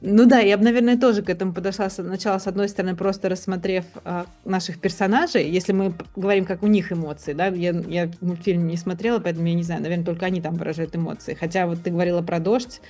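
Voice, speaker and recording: A woman's voice: on the low side, fairly even intonation, fast, hardly any pauses, dark tone. A woman in a casual discussion, thinking aloud in a hesitant, reflective way and often rephrasing herself. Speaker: podcast participant.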